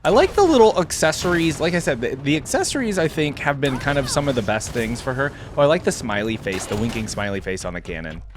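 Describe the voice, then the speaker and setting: A man's voice: medium pitch, big pitch swings, quick pace, few pauses, slight background noise. A man giving casual, off-the-cuff reaction commentary, thinking aloud in a chatty way with informal slang. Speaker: host of a reaction video.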